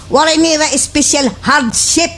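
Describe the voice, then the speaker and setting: A woman's voice: high voice, fairly even intonation, a little slow, fairly bright tone. A woman with a Waray Filipino accent acting an exaggerated, indignant, boastful village schemer in a slapstick radio comedy.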